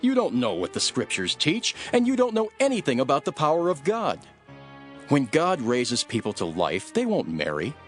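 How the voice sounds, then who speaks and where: A man playing an authoritative teacher in a Bible audio drama, speaking in a firm, emphatic, measured way. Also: medium pitch, huge pitch swings, soft background music. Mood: firm correction.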